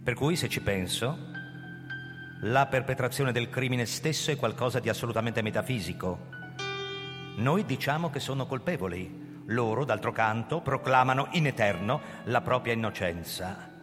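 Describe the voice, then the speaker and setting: An actor playing a proud, defensive older agency founder who narrates his story in a measured, theatrical radio-drama delivery. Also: mid-range voice, lively intonation, close to an everyday voice. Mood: grave, explanatory. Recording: long hall reverb, music underneath. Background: music.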